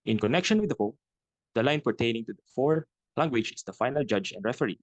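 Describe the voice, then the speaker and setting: A young man with a Filipino accent reads a prepared answer in a steady, formal way. His pitch sits in the middle for a man, and the recording is dry and close. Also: fast, dry recording. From Philippines. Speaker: student panelist.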